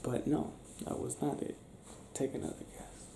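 A young man doing an improvised ASMR boyfriend roleplay, talking softly and affectionately in a breathy, teasing, near-whispered way. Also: normal speed. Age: young adult. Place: small bedroom, lying on a bed indoors. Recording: roomy sound with faint background noise.

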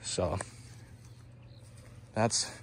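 A man giving a relaxed walking tour of his garden outdoors, with lots of "um, you know," filmed on a handheld phone. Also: very slow, a little hiss or hum. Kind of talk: casual walking tour explanation. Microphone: phone camera held by the speaker.

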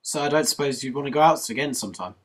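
Guy acting as friendly awkward young student, normal pitch, casual drama chat. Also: animated, rapid-fire, close to an everyday voice. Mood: hesitant. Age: young adult. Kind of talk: casual acted dialogue.

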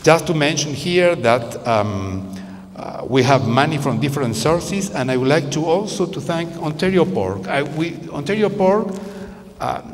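A man's voice: fairly high pitch, lively intonation, measured pace. A man in his fifties giving a conference keynote on a handheld microphone, speaking in non-native phrasing with frequent restarts. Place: large conference room with curtains. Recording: long hall reverb.

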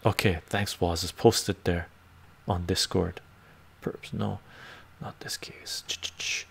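An older man from western Canada hosting a casual live stream from his home study on a lapel mic, talking emphatically, often adding "right?" and sometimes dropping to a soft whisper. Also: low voice, little pitch movement, slow, vocal fry, slightly rough. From born in Iran, raised in western Canada. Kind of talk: casual live stream talk reading chat. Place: home study lined with bookshelves.

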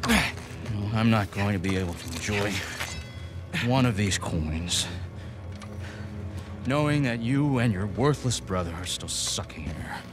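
An actor playing a ruthless, arrogant, obsessed rich treasure hunter in a video game cutscene, with an American accent and a sneering, theatrical delivery. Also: male voice, lowish voice, animated, measured pace, long pauses. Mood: cold contempt. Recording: loud music under the voice.

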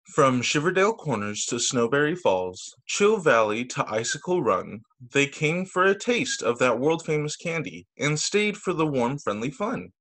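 A man, a teacher, reading children's stories aloud over a video call in an expressive, sing-song storytelling way. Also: fairly high pitch, a little slow. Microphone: video call microphone.

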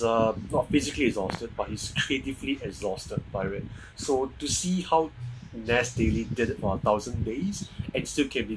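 A man's voice: medium pitch, noisy background. A young man in his twenties with a Singaporean English accent, hosting a podcast over a video call and chatting loosely with frequent restarts. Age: 20s. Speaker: podcast host. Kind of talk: casual video-call chat. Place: home room with shelves. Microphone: earphone mic on video call.

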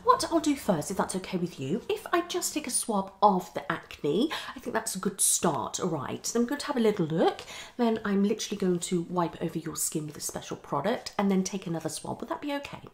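A woman in her thirties doing an ASMR roleplay as a dermatologist, slipping between whispers and soft speech with reassuring clinical patter. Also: medium pitch, sing-song, fairly quick. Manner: hasty, reassuring clinical patter addressed to a patient. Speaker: ASMR performer playing a dermatologist. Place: small living room at home, indoors.

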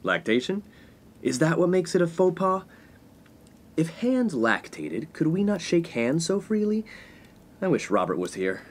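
A man's voice: a little high, sing-song, a little slow, long pauses. An actor playing a smug, spoiled, lecherous 1950s college man in a comedic radio play, talking in a pompous, mock-philosophical way. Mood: scheming, musing. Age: young adult.

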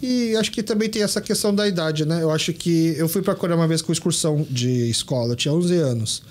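A man's voice: on the high side, fast talker, a little mellow, slight background noise. Chatty man rambling through stories. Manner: chatty, restarts often, rambling anecdotes. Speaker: podcast host. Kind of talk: casual chat.

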